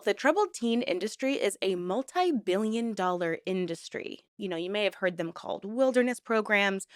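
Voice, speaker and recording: Congested female vlog host, somewhat low and nasal, earnest conversational delivery, close dry recording. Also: clear, resonant tone, no room sound. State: stuffed up, nasal. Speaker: YouTube host. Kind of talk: true-crime commentary vlog.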